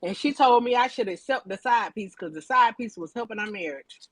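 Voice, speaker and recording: A woman's voice: on the high side, lively intonation, a little fast, no room sound. A woman chatting casually and candidly about her own relationship, repeating phrases for emphasis. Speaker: talk show panelist. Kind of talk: casual group chat, personal storytelling.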